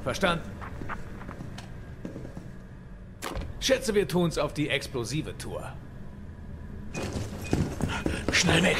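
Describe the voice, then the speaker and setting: A man's voice: a little high, sing-song, normal speed, background noise. An actor playing a brash, wisecracking male mercenary soldier in a German audio drama. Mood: cocky.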